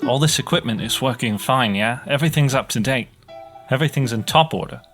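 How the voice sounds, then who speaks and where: An actor plays a young male student technician on a space mission, bitter and fed up. His mid-pitched voice gripes with dry sarcasm in an audio drama monologue, with music playing underneath. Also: brisk, fairly bright tone, close to an everyday voice. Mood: bitter, frustrated. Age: young adult. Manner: sarcastic, griping. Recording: music behind the voice.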